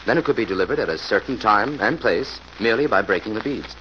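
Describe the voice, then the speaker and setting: An assured, genial investigator in an old-time radio mystery. His low voice explains things in a measured way, over a dull recording with clear background noise. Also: expressive, fairly bright tone. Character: assured, genial male detective hero. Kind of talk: 1940s radio acting.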